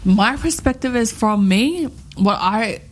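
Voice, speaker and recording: A woman's voice: on the high side, expressive, takes it slowly. A woman in her thirties co-hosting a radio chat show, talking in a rambling, heartfelt way and repeating herself often. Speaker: radio co-host.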